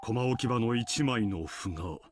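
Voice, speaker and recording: A man's voice: medium pitch, a little fast, lightly acted. A voice actor playing a dry, self-assured, pragmatic businessman in a Japanese mystery game. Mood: dry, wry. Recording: noisy game audio played on a stream.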